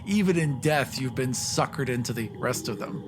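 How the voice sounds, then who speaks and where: Medium-pitched man vividly discussing games. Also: lively intonation, moderate pace, background noise. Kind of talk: casual tabletop game discussion.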